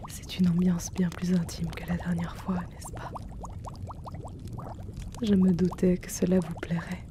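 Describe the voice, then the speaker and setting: A performer voicing a gentle, courteous male herbalist in an ASMR roleplay, speaking softly with formal politeness and slipping into whispers. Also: medium pitch, monotone, slow pace, long pauses, background noise, close to an everyday voice. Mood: gentle, caring. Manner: soft-spoken, courteous, formal address.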